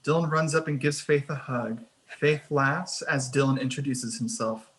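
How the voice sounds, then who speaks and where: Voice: mid-range voice, steady tone, normal speed, breathy edge. A narrator reading screenplay action lines and scene headings in a scripted table read.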